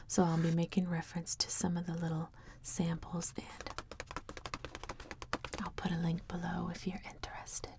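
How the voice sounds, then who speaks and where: A woman in her thirties doing ASMR in a slow, soothing whisper, close to the mic. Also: fairly low pitch, completely flat delivery, very noisy. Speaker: ASMR video creator. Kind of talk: ASMR whispering, gentle makeup roleplay.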